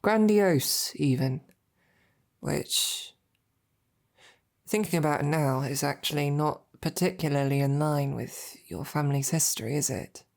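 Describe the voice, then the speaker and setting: A woman playing a devoted, self-doubting female royal knight in an intimate roleplay audio, speaking in a hesitant, informal, tender way with a low, gravelly voice. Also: a little slow, a little mellow. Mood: curious, gentle.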